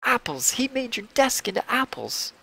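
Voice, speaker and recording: A man voicing an excitable, alarmed girlfriend, exclaiming shrilly as in a comic-book reading. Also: very high-pitched, moderate pace, gravelly, husky, exaggerated voice. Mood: astonished. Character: alarmed girlfriend of the writer, young woman, excitable.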